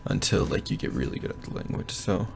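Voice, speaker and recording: A young man giving a casual, rambling progress update from his bedroom, often pausing to think aloud. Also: slight background noise. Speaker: language learner vlogger. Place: bedroom at home.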